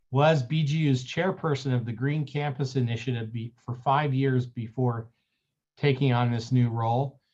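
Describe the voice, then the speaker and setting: A man hosting a webinar on a video call. He reads out a long guest introduction in a friendly, slightly halting way. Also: medium pitch, a little mellow, lo-fi sound. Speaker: webinar moderator.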